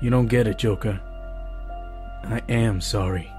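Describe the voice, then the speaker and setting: A man's voice: on the low side, fairly even intonation, measured pace, darkish tone, lightly acted. A man playing a glum, uneasy henchman in a motion comic, brooding and hesitant as he speaks. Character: glum, uneasy male henchman narrating his regrets. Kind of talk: dramatic motion comic narration and dialogue. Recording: faint music behind the voice. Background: faint music.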